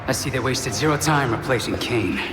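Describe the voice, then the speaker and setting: An actor playing a gruff, defiant vigilante fighter in a video game, with a gritty, sardonic delivery. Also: male voice, mid-range voice, expressive, brisk, loud music behind the voice, close to an everyday voice. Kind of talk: dramatic video game acting. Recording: echoey hall, loud background noise.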